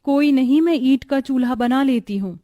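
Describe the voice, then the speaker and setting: Humble poor young bride for animated story, female performer; high, earnest, courteous. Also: moderate pace, close to an everyday voice. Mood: worried. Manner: polite, earnest, soft-hearted. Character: humble, dutiful poor young daughter-in-law, gentle and patient.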